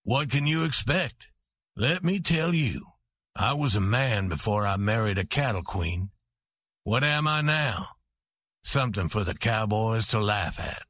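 Storybook: a man playing a low, slow, brooding rancher husband, husky. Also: expressive, a bit bright, no room sound. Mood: bitter. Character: bitter, resentful rancher husband.

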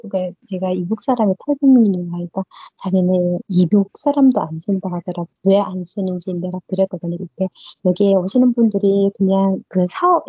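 A woman's voice: mid-range voice, mellow, slightly rough, no room sound. A woman in her thirties from North Korea, with a North Korean accent, telling her own story in an interview with many fillers and restarts. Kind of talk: personal recollection in an interview. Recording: dull lo-fi dry recording.

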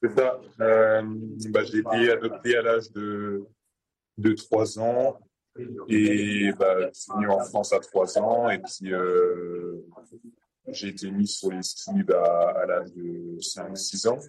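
Deep, flat-voiced young man, husky. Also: takes it slowly, poor audio. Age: 20s. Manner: hesitant, many fillers. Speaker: athlete interviewed. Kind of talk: interview answers.

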